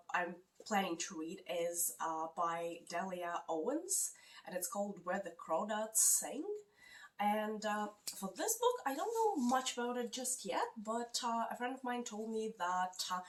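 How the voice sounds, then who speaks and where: A woman with a non-native English accent chatting casually about books she plans to read, pausing often with fillers. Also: medium pitch, expressive, recorded from a distance, a little hiss or hum. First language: not English. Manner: hesitant, many fillers. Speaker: book vlogger. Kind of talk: casual chat. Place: lives in Canada.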